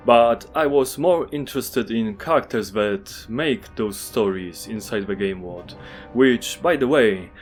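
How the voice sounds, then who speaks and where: A man with a Polish accent, a native Polish speaker, reading a scripted game review in an earnest, measured way with wry asides. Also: lowish voice.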